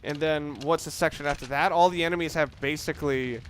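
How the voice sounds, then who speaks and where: A man's voice: fairly high pitch, steady tone, a little fast, slight background noise. A man giving casual, analytical video game commentary, rambling and correcting himself as he goes. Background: video game sounds.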